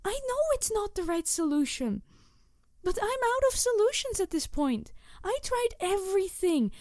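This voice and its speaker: A woman's voice: very high voice, big pitch swings, moderate pace, dry recording, studio quality. A woman playing a snarky, embittered, idealistic supervillain in an intense audio roleplay monologue addressed to the listener. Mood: pained, earnest. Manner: snarky, intense, sighing, shifting from emphatic to hushed. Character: snarky, embittered, idealistic female supervillain confronting her nemesis. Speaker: voice actor.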